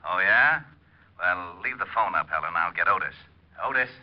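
An actor playing a blunt, matter-of-fact police lieutenant heard over a telephone in a radio drama. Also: male voice, low-pitched, lively intonation, normal speed. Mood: brisk. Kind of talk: 1950s radio drama acting. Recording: dull old radio, telephone sound.

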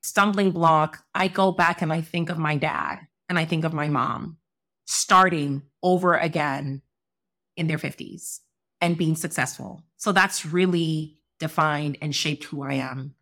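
A middle-aged woman from Sierra Leone talks as a podcast guest. Her voice sits fairly low. She speaks in an earnest, reflective way. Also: fairly even intonation, unhurried, a bit bright. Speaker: guest, lawyer and entrepreneur. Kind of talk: podcast interview.

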